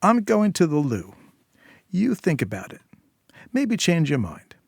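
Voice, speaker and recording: Man voicing a menacing, taunting female intruder, pitched up. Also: huge pitch swings, measured pace, vocal fry, husky, no echo, studio quality, full character voice. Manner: taunting, bossy. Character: menacing, sarcastic, foul-mouthed female intruder.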